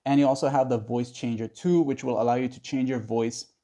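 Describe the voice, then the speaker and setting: A man's voice: medium pitch, fairly even intonation, fairly quick, dark tone. A man narrating a casual how-to tutorial in a conversational, instructional way. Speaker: tutorial host.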